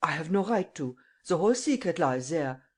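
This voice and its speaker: A reader doing an excitable old German man. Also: mid-range voice, moderate pace, breathy edge. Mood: refusing. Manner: stammering, exclaiming.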